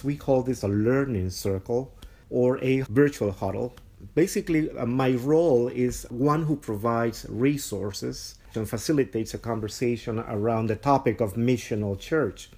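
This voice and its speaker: A man from Honduras, a mission program director, explaining his work in a measured way on a podcast interview. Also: mid-range voice, darkish tone.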